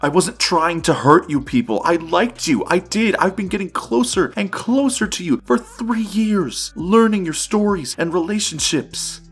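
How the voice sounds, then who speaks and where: A man's voice: sits high, lively intonation, a little slow, few pauses, husky, soft background music, exaggerated voice. A man playing a frightened, pleading newspaper reporter who sounds rattled and out of his depth, in a comic dub.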